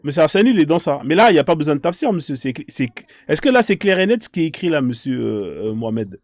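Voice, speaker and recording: A man's voice: on the high side, very animated, fast, no echo. A man hosting a live online debate, polite but insistent, repeating his requests to callers.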